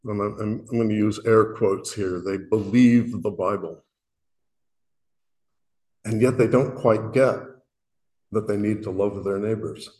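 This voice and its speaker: A man from Illinois with a booming voice, speaking earnestly and self-deprecatingly to a worship meeting, often pausing and restarting his sentences. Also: a little mellow. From Illinois, USA. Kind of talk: reflective spoken message.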